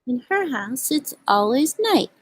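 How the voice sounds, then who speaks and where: A woman with a husky voice hosting a storytime for young children, speaking gently and in simple phrases as she reads and chats outdoors. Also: high voice, sing-song, unhurried, poor audio. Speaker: children's storytime host at a community farm. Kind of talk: storybook read-aloud and casual chat to children. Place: outdoors at a farm.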